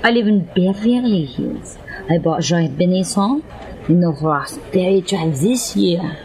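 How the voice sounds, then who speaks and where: Woman acting a slow-talking movie star. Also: on the low side, lively intonation, a little mellow. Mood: exasperated. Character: flirtatious, exasperated French female movie star. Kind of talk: comic old-time radio drama acting.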